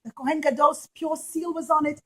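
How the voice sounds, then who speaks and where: High voiced lady doing storytime for little kids, emphatic, repeats herself a lot. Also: steady tone, fairly quick, pushed and bright. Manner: emphatic, repeats phrases for children. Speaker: children's storyteller and puppet show presenter. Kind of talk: animated storytelling to young children.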